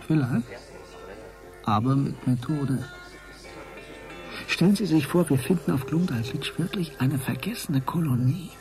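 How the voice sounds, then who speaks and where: A keen, halting investigator. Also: male voice, a little high, lively intonation, music in the background, poor audio. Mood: conspiratorial. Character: keen, scheming male investigator with a wild plan. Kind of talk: German radio drama acting.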